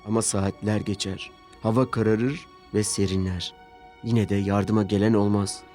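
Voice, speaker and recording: Low-voiced male children's storyteller over music. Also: fairly even intonation, normal speed, darkish tone. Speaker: narrator. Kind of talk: scripted children's story narration. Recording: music bed behind narration.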